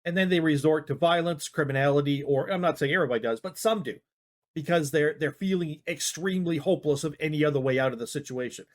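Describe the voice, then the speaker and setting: A man's voice: a little high, quick pace. A man giving casual reaction commentary, rambling and hedging with frequent restarts. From Canada. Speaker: YouTube commentator.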